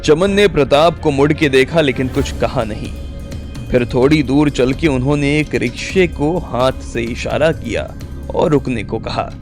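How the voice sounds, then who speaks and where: Mid-pitched male story narrator over music. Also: measured pace. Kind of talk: scripted audio story narration. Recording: music bed under the voice.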